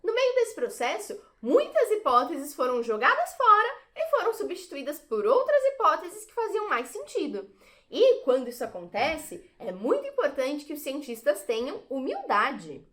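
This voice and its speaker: A woman plays an earnest science explainer in an educational audio drama. Her high voice is extremely animated, with didactic, theatrical emphasis. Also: normal speed, exaggerated voice.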